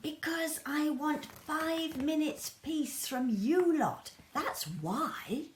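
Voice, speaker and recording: A woman's voice: high voice, animated, drawn-out words, echoey room, slight background noise, pitched above the performer's own voice, full character voice. A woman voicing a weary, exasperated mother elephant in a children's story, sighing and long-suffering.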